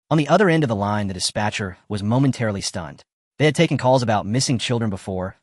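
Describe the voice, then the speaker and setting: A male narrator reading a story in a steady, scripted delivery. His pitch sits in a middle range but swings freely up and down. The recording is dry and close, with no room sound. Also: no room sound.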